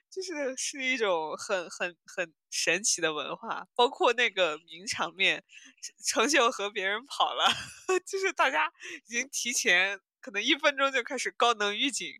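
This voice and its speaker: A woman hosting a casual podcast chat, animated and giggly, often repeating words as she feels her way through a thought. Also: fairly high pitch, unhurried, no echo. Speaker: podcast host.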